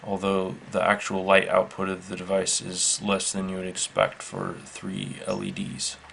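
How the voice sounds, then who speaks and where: A man giving a casual, unscripted product demonstration in a matter-of-fact, halting, measured way. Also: sits low, creaky, a bit bright. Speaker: product reviewer. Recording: faint background noise.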